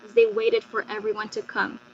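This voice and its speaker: A woman's voice: mid-range voice, completely flat delivery, fairly quick. A woman telling a scripted folktale as narrator with a dramatic storytelling delivery. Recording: muffled lo-fi, faint hum.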